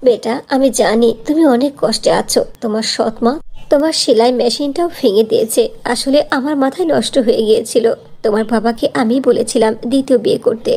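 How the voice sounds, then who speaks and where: Cartoon fairy mother played by a woman: high voice, tender, soothing, patiently reassuring. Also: brisk, warm tone. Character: loving, reassuring fairy mother returned from death. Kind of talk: cartoon fairy-tale voice acting.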